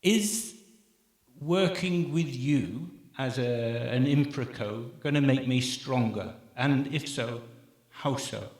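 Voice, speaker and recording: A man moderating a conference panel on stage, upbeat, with quick asides to the audience. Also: medium pitch. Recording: echoey conference hall.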